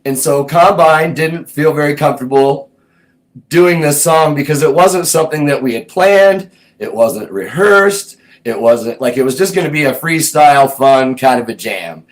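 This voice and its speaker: A man's voice: a little high, moderate pace. Laid-back man telling a story. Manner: laid-back, meandering, thinks aloud. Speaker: host recounting his music career. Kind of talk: casual personal storytelling.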